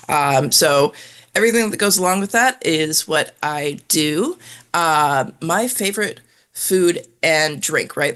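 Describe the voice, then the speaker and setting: A woman introducing herself on a casual video-call panel, chatty and friendly, her voice a little rough from being sick. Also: sits low, fairly bright tone. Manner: chatty, friendly, light laughter. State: recovering from illness, throat a bit rough. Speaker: program manager, panelist.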